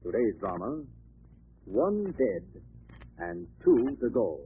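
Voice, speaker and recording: A man's voice: mid-range voice, sing-song, takes it slowly, creaky. A man reading scripted announcements and narration in emphatic, formal vintage radio style. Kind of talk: 1930s-40s radio announcing, scripted narration and sponsor read. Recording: tinny old radio recording, faint noise.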